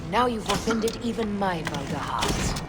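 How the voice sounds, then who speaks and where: A woman's voice: mid-range voice, big pitch swings, unhurried, music in the background. A woman playing a cool, defiant fighter of ancient Egypt in a video game, with a cutting delivery. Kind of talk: video game cutscene acting.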